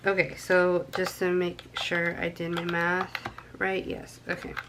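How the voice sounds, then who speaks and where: A woman's voice: on the low side, completely flat delivery, a little slow, noisy background. A young woman with a stuffy nose from a cold, chatting casually to camera and counting cash aloud with frequent ums. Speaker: budgeting vlogger.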